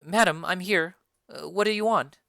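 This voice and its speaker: A woman's voice: on the low side, normal speed, creaky, fairly bright tone, no room sound, studio quality, pitched below the performer's own voice. A woman doing a polite, reserved young girl. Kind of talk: dramatic reading of a play.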